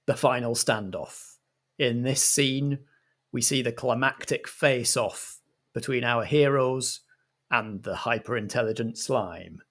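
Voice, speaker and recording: A man hosting as compere and game master, making announcements and setting scenes with theatrical phrasing. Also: medium pitch. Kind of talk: scripted announcements and scene narration.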